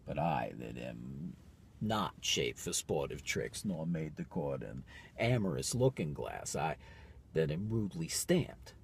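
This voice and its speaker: A man's voice: a bass voice, very animated, measured pace, creaky, a little hiss or hum, heavily acted. A man performing a Shakespearean soliloquy as a bitter, deformed, scheming villain, declamatory and scornful. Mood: brooding resentment.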